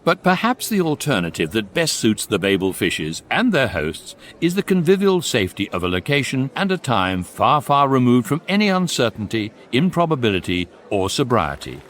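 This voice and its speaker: A wry guidebook narrator. The scripted comic narration is articulate and deadpan in wit, yet moves widely in pitch at a middling register. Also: male voice. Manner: deadpan, articulate, measured phrasing.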